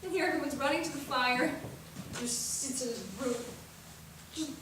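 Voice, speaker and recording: A woman's voice: high voice, normal speed, fairly bright tone. An actress playing a desperate, worn-out young woman of twenty-four, sobbing and laughing through her tears on stage. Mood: distraught. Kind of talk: stage acting. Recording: echoey stage from far off, faint noise.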